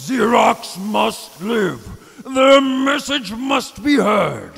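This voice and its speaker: In a cavernous, reverberant space, a fanatical admiral from an audio drama. His voice is rough and forceful, swinging widely as he rants with pompous, cult-leader grandeur. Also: very high-pitched, big pitch swings, very slow, exaggerated voice. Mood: furious, desperate. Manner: pompous, ranting. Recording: long echoing reverb.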